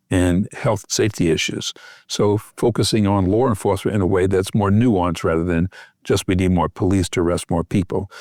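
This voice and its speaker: A man giving a measured, methodical explanation as an expert guest in an interview. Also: low voice, a little mellow, dry recording, studio quality. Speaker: expert guest, physician researcher.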